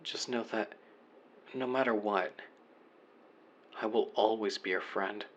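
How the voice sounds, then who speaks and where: A man's voice: on the low side, fairly even intonation, slow pace, slight background noise. A man playing a remorseful young man leaving an apologetic voicemail to his former best friend, earnest and confessional in an audio roleplay monologue. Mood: tender, resigned.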